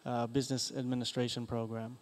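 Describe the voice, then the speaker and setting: An American man in his twenties, a master's student on a panel, talking in a relaxed, conversational way. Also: mid-range voice, fairly quick. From United States.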